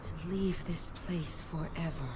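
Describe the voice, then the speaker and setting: A low, silky demon cat. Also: female voice, a little slow, dark tone, breathy edge, exaggerated voice. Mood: coolly bargaining. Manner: silky, formal, coaxing. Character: seductive female demon posing as a talking cat. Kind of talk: video game character acting.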